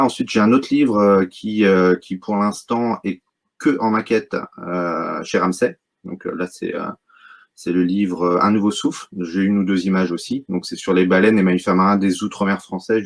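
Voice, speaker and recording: A middle-aged man from Brittany with a very low voice speaks as an interview guest. He talks about his work haltingly, with frequent fillers and restarts. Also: gravelly. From Brittany, France. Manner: hesitant, many fillers and restarts. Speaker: wildlife photographer, guest. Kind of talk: casual interview talk.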